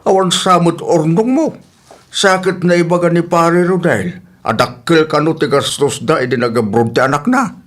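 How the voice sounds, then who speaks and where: Man acting as fretful older father, higher-pitched voice. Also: normal speed, natural voice. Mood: worried. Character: worried, doubtful older father and husband. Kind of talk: Ilocano radio drama acting.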